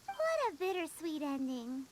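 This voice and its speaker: A woman voicing a tiny, cocky, eager fairy companion in an anime-style video game, squeaky and childlike with a bubbly, exclamatory manner. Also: huge pitch swings, unhurried, heavy background noise, exaggerated voice. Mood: wry. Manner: bubbly, exclamatory, talks of itself in third person. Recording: game music behind the voice.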